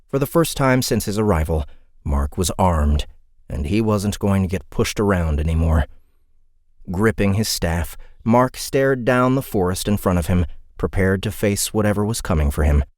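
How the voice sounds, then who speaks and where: A man narrating a fantasy audiobook in an expressive, dramatic storytelling style. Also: low-pitched, moderate pace, dry recording, studio quality.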